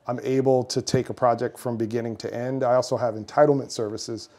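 A man's voice: mid-range voice, fairly even intonation, brisk, warm tone. A man in his thirties, a builder and firm founder, explaining his work earnestly in an office meeting room, with some fillers. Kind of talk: interview answers.

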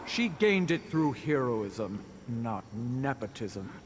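A man's voice: mid-range voice, big pitch swings, slow pace, husky, exaggerated voice. An actor playing a proud warrior man in a video game. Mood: defiant. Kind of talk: video game character acting. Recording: long reverb, loud background noise.

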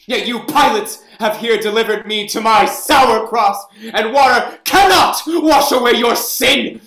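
An actor playing a deposed young king, bitter and theatrical, in a play reading over a video call, swinging from hushed whispers to anguished shouts. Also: extremely high pitch, unhurried, roomy sound, faint music behind, full character voice. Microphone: webcam on a video call.

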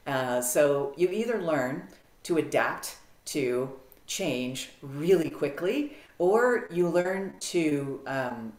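A middle-aged American woman talking as a podcast guest on a video call, animated and clear with frequent ums. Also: a bass voice. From United States. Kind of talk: casual interview storytelling. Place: home office, video call. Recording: roomy video-call audio.

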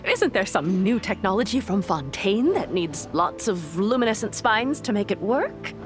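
A woman's voice: mid-range voice, big pitch swings, moderate pace, over music, natural voice. A woman voicing a curious young merchant woman in a video game, chatty, inquisitive and a little gossipy.

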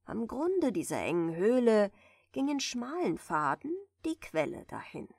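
A woman softly reading a bedtime fairy tale in a gentle, soothing manner, at times close to a whisper. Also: on the high side, sing-song, unhurried, husky, dry recording. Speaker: audiobook reader, storyteller.

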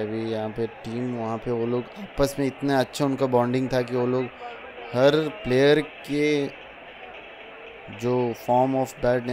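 A man's voice: medium pitch, background noise. A young man narrating his daily vlog in a chatty voice-over, often addressing viewers as friends. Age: young adult. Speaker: vlogger.

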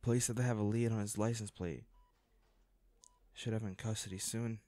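A man's voice: lowish voice, fairly even intonation, brisk, warm tone, no echo, lightly acted. A man acting a hot-tempered but caring boyfriend in an intimate audio roleplay, with naturalistic, sweary delivery. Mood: remorseful, grieving. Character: hot-tempered boyfriend, stressed then remorseful.

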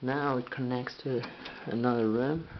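A man giving a halting, casual walkthrough tour inside a house, filmed on a handheld camcorder. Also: medium pitch, fairly even intonation. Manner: halting, restarts often, plain speech. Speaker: home tour guide. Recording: muffled lo-fi camcorder audio with faint noise.

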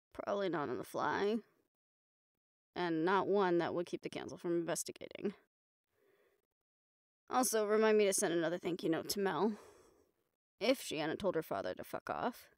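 A woman's voice: mid-range voice, no room sound, clean studio sound. A woman performing an audio roleplay, talking in casual, profane, wry banter.

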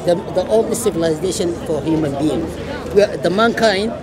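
A man from Nubia, a native Arabic speaker, arguing back in an open-air debate, halting and repeating himself. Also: sits high. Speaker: audience member in debate. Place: outdoor public park. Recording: crowded outdoor recording. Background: crowd chatter.

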